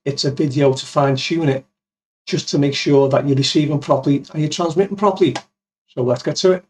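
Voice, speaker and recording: A man's voice: on the high side, fairly quick, a little mellow. A man in his fifties giving an informal, step-by-step tutorial in a plain-spoken way, close on a studio mic. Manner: step-by-step, plain-spoken, addresses viewers as guys. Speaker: amateur radio video presenter. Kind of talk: informal software tutorial with demonstration. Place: small cluttered home office. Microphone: boom-arm studio mic with pop filter, close.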